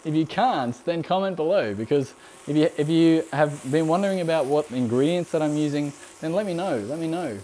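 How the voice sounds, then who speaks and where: A man's voice: a little high, a little fast, slight background noise. A young Australian man with a mild accent hosting a live cooking stream, chatting loosely with fillers while he answers viewer questions. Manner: rambling and relaxed, frequent ums, laughs often. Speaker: cooking channel host.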